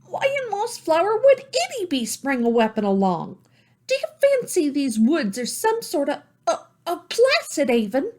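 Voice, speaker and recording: A man's voice: extremely high pitch, wildly swooping pitch, moderate pace, a little mellow, voice pushed up, heavily acted. A man voicing a hot-tempered, defiant young female ferret with folksy grammar. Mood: indignant.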